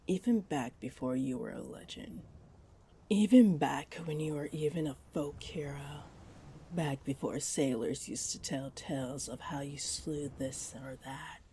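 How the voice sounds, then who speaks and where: A low-voiced, flirty mermaid played by a woman coaxes in breathy half-whispers, taking her time with every phrase. She is greedy and possessive, speaking intimately as in a roleplay audio drama, over faint wave ambience. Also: dark tone, slight background noise. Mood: adoring.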